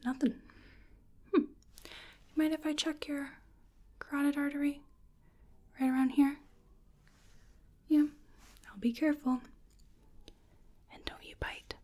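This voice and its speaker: A woman playing a bookish, polite cryptid researcher in a soft-spoken ASMR roleplay, breathy and largely whispered, gently musing to herself close to the microphone. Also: sits high, steady tone, takes it slowly, pauses often. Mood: gentle, attentive.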